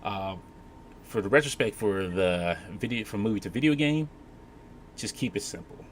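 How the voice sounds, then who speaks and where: Low-voiced man, halting panel talk. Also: animated. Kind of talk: casual opinion talk. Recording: faint background noise.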